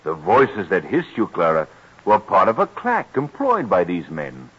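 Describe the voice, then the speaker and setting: A man's voice: sits low, huge pitch swings, normal speed, a bit bright, slightly rough, full character voice. A man playing a gallant, emphatic colonel and reassuring patron in an old-time radio drama. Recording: muffled old radio recording.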